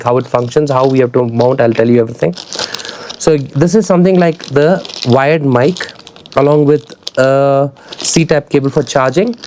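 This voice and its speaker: A man's voice: fairly high pitch, darkish tone, slight background noise. A man with an Indian accent giving a casual, conversational product review, addressing his viewers directly as a vlogger. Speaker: motorcycle gear reviewer and vlogger.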